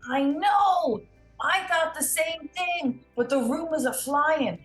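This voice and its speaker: A woman's voice: high-pitched, slow pace, echoey room, faint music behind. A woman playing a gossiping, concerned parent in an amateur script table read. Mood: gossipy. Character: gossiping concerned parent, disappointed in Dave Kaufman.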